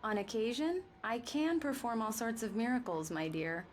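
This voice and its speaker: An actress playing an affectionate, teasing wife in a thriller video game. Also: female voice, a little high, normal speed, slight background noise, lightly acted. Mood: playful. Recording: quiet distant game audio with music.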